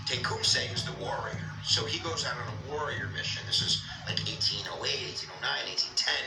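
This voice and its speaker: A man's voice: mid-range voice, reverberant, far from the mic, poor audio. A man casually telling a history story, rambling with many fillers and joking asides.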